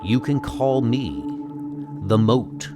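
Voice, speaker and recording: A man playing a calm, solemn cosmic guardian with a slow, measured delivery in a comic dub. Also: medium pitch, loud music behind the voice.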